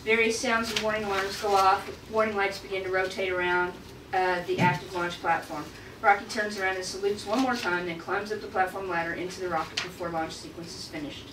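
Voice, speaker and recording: A woman's voice: medium pitch, steady tone, a little fast. A woman reading out screenplay stage directions as narrator at a table read. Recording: echoey room, distant mic, clear noise.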